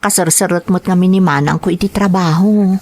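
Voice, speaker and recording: A woman's voice: lowish voice, steady tone, a little fast, a little mellow. A woman playing an earnest, dutiful young woman in an audio drama, with a Filipino accent. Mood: apologetic, earnest.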